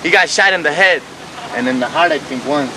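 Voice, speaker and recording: A man's voice: on the high side, lively intonation, a little fast, pushed and bright, a little hiss or hum, rough recording. A man telling street stories in an emphatic, profane, slangy way, repeating himself for emphasis in a documentary field recording. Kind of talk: animated street storytelling.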